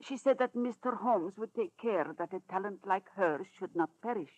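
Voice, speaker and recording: A woman's voice: medium pitch, normal speed, darkish tone, muffled recording, natural voice. A woman playing a devoted, motherly theatrical dresser in 1940s radio drama, earnest and pleading in a dramatic manner. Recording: old radio broadcast.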